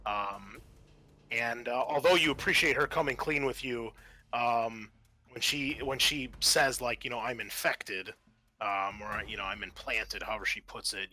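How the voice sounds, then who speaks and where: Bright-voiced man running a tabletop game. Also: on the low side, normal speed. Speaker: game master. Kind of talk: casual tabletop game narration. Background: background music.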